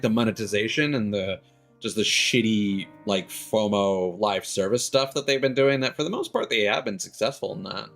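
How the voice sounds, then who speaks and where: Male game streamer, low voice, loose rambling chat with chuckles, music playing behind. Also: fairly bright tone. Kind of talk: casual livestream chat over gameplay.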